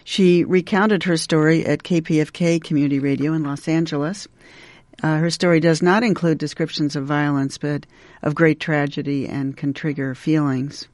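Even-toned male radio host. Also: fairly high pitch, normal speed, dry recording. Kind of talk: radio presenting, spoken introduction.

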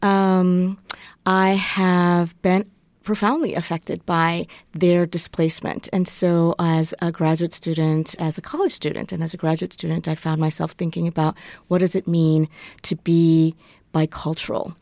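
A woman with a fairly low voice talks as a guest on a radio interview. She is a professor who was raised in the northeastern United States, and she explains her work thoughtfully with frequent ums. The sound is dry and close in a studio. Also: flat delivery, measured pace, darkish tone, dry recording.

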